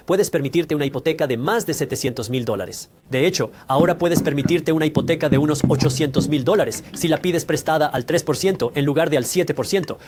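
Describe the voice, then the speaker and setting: A man's voice: on the high side, darkish tone. A man presenting a scripted educational explainer, speaking directly to the listener and laying things out step by step. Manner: didactic, explains step by step with examples. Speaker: host of a personal finance explainer. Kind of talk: scripted educational narration, addressing the viewer directly.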